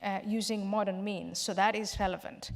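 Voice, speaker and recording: A woman from Somalia answers audience questions at a public event, speaking in a measured, explanatory way and sometimes restarting a phrase. Her voice sits in a middle register and carries a long hall reverb. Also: mid-range voice, steady tone. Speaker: panel guest. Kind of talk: public Q&A discussion. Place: large hall.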